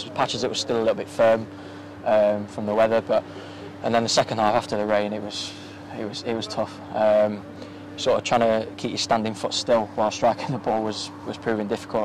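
A young man, a footballer, giving a soft-spoken post-match interview outdoors at a floodlit ground at night, with many ums and restarts, into a handheld mic. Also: on the low side, rough recording. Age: young adult. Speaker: professional footballer. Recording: outdoor ambience with faint noise.

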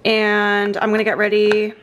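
A woman's voice: mid-range voice, completely flat delivery, normal speed, a bit bright, slight background noise. Chatty young woman vlogging casually. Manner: chatty, rambling, lots of "like" fillers. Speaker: lifestyle vlogger. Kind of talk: casual vlog chat to camera. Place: at home, then in a parked car. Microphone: handheld camera or phone at arm's length.

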